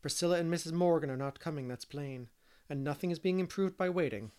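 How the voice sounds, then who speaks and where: A no-nonsense older woman from a storybook, acted out by a man narrating. The voice is raised in pitch, firm and matter-of-fact, with a scolding edge. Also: fast talker, a little mellow, clear, resonant tone, close to an everyday voice. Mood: brisk, cross. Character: stern, brisk, no-nonsense older woman guardian.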